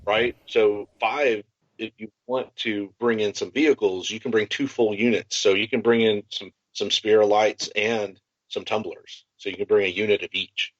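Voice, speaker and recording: A man's voice: on the low side, steady tone, normal speed, no echo, lo-fi sound. A man co-hosting a podcast, explaining tabletop gaming options enthusiastically in casual chat. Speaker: podcast co-host.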